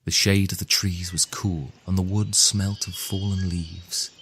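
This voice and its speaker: A man narrating a fairy tale in a measured, theatrical storytelling manner. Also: low voice, level tone, fairly bright tone, a little hiss or hum. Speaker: storyteller and author reading his own tale. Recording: music under the voice. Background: music.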